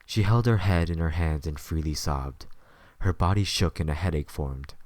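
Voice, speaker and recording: A man's voice: extremely low pitch, moderate pace, no echo. A man narrating a scripted story as the narrator of an audio drama.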